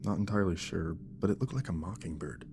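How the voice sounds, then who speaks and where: A man's voice: low voice, fast talker, creaky. An actor playing an exhausted young father in an audio drama, placating and puzzled, in an understated conversational way. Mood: defensive, puzzled. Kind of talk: naturalistic audio drama acting.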